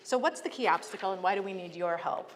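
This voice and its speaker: A woman's voice: mid-range voice, quick pace. An American woman giving an earnest, persuasive prepared speech as a public agency leader, with emphatic delivery. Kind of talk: prepared advocacy speech to a conference. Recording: echoey hall, clear background noise.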